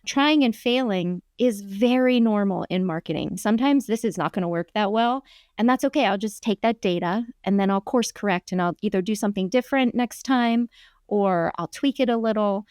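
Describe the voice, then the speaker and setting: A woman speaks as a podcast guest, explaining things in a friendly, reassuring way. Her voice is smooth and clear at an ordinary pitch, recorded close and dry. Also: normal speed, darkish tone, no room sound. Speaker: marketing coach, podcast guest. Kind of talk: conversational podcast interview.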